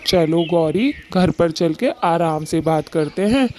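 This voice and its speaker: A woman's voice: sits low, slow, dark tone, a little hiss or hum. A woman voicing a patient, mild male bird husband in a cartoon, reasoning and placating as he talks. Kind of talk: Hindi cartoon story dialogue.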